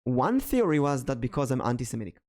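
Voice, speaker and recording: A man's voice: mid-range voice, sing-song, quick pace, darkish tone. Hebrew-accented man hosting a casual podcast. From Israel. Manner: storytelling, hesitant with many ums. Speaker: podcast host.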